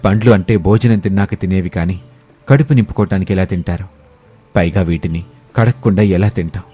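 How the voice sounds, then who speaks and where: A proud, rich older landlord, played by a man in a cartoon village fable. His voice is very deep and clear-edged bright, with music behind him. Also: a little fast. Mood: haughty. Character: proud older wealthy male landlord, humbled. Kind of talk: scripted moral-story cartoon dubbing.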